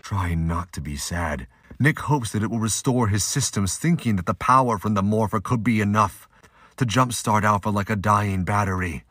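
A man's voice: fairly low pitch, moderate pace, a bit bright, no room sound, clean studio sound. A man narrating an action audio drama in a dramatic scripted style.

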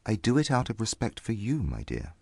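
A woman voicing a mild, old-fashioned young husband and father in a courteous, measured, formal manner, as in a storybook reading. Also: extremely low pitch, huge pitch swings, vocal fry, darkish tone, husky, no room sound, studio quality, voice pushed down, exaggerated voice. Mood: pleasantly surprised.

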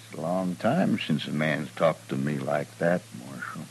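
A man's voice: a bass voice, a little slow, slightly rough, exaggerated voice. An actor playing a prickly, menacing hired gunman in a 1950s radio western, terse and defiant, with an American accent. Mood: defiant, tense.

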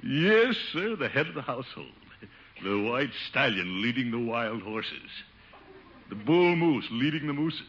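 An actor playing a vain, pompous middle-aged uncle and town official in a 1950s radio sitcom. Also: male voice, medium pitch, big pitch swings, unhurried, a bit bright. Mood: self-admiring. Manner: pompous, self-satisfied chuckling blusterer. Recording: muffled, lightly noisy old radio broadcast.

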